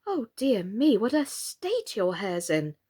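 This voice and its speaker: A woman's voice: mid-range voice, sing-song, slow pace, warm tone, breathy edge, heavily acted. A woman voicing a polite, sensible, slightly timid young storybook girl in a civil, earnest way. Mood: helpful, polite.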